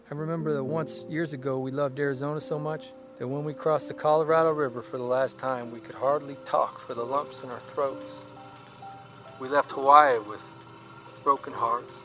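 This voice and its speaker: A man's voice: medium pitch, normal speed, over music, lightly acted. A man playing a wry, wistful American traveling newspaper columnist, telling his stories in a folksy, reflective radio drama style. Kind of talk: first-person period radio drama monologue.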